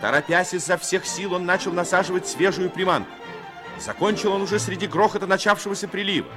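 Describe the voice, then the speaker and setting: A man's voice: sits high, fast. A man giving scripted dramatic narration in a 1950s radio play. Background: music.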